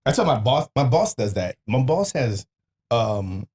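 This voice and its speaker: A man's voice: mid-range voice, big pitch swings, a little slow, darkish tone. A man chatting casually on a podcast, joking in slangy, profane talk. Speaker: podcast speaker.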